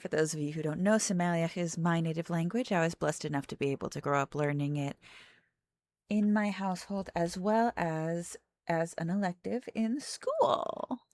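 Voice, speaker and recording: A woman's voice: on the low side, normal speed, a little mellow, dry recording. A woman streamer chatting casually and playfully while playing a game. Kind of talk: casual livestream chat.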